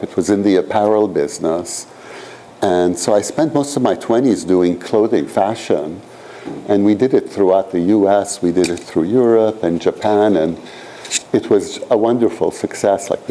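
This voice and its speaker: A very deep, mellow-voiced man in his sixties, originally from Iran, lecturing a graduate seminar in a lecture room. He rambles casually, leaning on "you know" and "frankly" as he goes. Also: slight background noise, low-quality recording. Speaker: professor leading a seminar.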